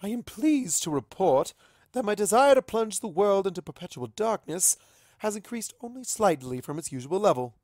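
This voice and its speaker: A man's voice: sits high, very animated, normal speed, darkish tone, dry recording, studio quality, voice pushed up. A man voicing a regal pony princess with a grand, formal, playful and theatrical manner. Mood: regal, amused.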